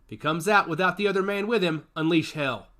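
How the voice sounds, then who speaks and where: A man voicing a blunt male soldier who leads a squad, barking brisk orders in a storybook reading. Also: sits high, fairly bright tone, pitched above the performer's own voice. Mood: commanding. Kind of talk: audiobook character voice.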